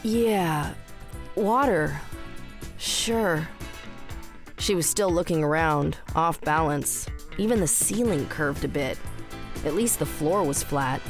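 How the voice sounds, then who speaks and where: Low-pitched woman narrating fiction. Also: measured pace. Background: music.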